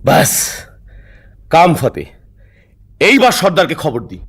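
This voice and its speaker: A man voices a sly cartoon bandit henchman. The voice is husky and animated, swinging up and down in theatrical, coaxing dialogue. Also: fairly high pitch, a little slow, full character voice. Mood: sly, scheming. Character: wheedling male bandit henchman, sly and watchful.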